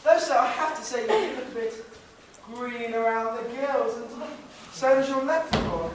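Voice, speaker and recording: A man's voice: very high voice, animated, unhurried. A man playing a cheeky, down-to-earth barmaid in a stage pantomime, wry and chatty, recorded in an echoey space. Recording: distant, echoey noisy stage.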